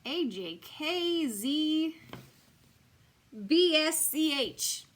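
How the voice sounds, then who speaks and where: A bratty little mouse girl, as a woman puts her on for a bedtime story, sulky and dramatic, jealous of the new baby. Her voice sits high and swings up and down a lot. Each line comes out petulant and huffy. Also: lively intonation, extremely slow, heavily acted. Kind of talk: storybook reading.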